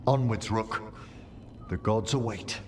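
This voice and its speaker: An actor playing a solemn, formal male companion with a stately, measured delivery in a fantasy game. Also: on the low side, slight background noise. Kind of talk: scripted fantasy game dialogue.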